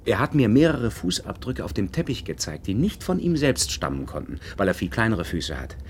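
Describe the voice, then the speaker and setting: A man's voice: lowish voice, big pitch swings, a little fast, a little hiss or hum. An actor playing an earnest, courteous doctor in a radio play, telling his story politely and eagerly. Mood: eager, puzzled. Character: earnest, courteous male doctor recounting a mystery. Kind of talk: German radio play acting.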